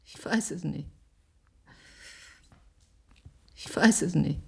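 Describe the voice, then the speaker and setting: A woman's voice: low-pitched, normal speed, vocal fry, breathy edge, exaggerated voice. An actress playing an earnest, impassioned woman doctor in a German radio play, with an urgent, insistent manner. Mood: resigned.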